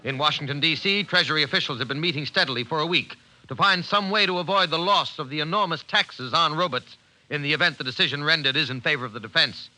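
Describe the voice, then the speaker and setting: A man's voice: on the high side, fast talker, bright, forceful tone, low-quality recording. An actor playing a grave, urgent male newscaster in a 1950s radio drama. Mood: grave, dramatic.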